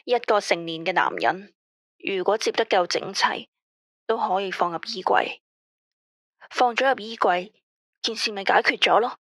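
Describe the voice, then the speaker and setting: A woman with a Hong Kong Cantonese accent playing an anxious, obsessive late-night radio caller who talks in a quiet, flat, unsettlingly matter-of-fact way. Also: mid-range voice, moderate pace, pauses often, no echo, studio quality. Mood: eerily calm. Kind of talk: radio drama acting.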